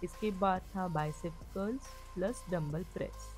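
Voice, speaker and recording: A woman's voice: lowish voice, moderate pace, a little mellow, soft background music. A woman giving a fitness vlog voice-over, explaining exercises and reminding viewers about posture and rest. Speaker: fitness vlogger. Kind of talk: instructional voice-over.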